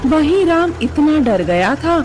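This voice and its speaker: A woman narrates a scripted horror story in a high, very lively voice. She takes it slowly, and loud music plays behind her.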